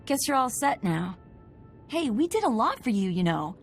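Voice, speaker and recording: A woman voicing an eager, awkward young freelance crewmate in a video game, halting and informal. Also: a little high, very animated, soft background music. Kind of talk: video game cutscene dialogue.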